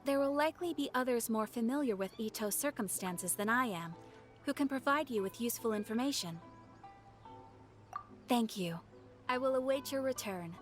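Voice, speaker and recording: A poised, courteous guild receptionist played by a woman, speaking in a formal and measured way. Her voice sits a little high, with faint game music behind. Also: lively intonation, lightly acted. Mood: calm. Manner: formal, composed, polite. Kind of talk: game voice acting.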